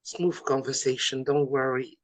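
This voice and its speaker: A woman's voice: a bass voice, level tone, measured pace, no room sound, poor audio. A woman with a French accent interviewing a guest in casual talk, with many fillers and restarts.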